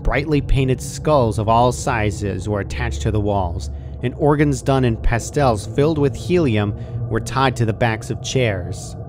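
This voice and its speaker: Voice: medium pitch, unhurried, background noise. A narrator reading a scripted horror fan fiction story aloud.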